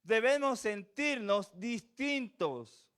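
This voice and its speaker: High, smooth-voiced Venezuelan preacher. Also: male voice, animated, slow pace, fairly bright tone. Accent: Venezuelan with a slight Chilean tinge. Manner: earnest, repetitive emphasis, rhetorical questions. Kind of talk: sermon. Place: church.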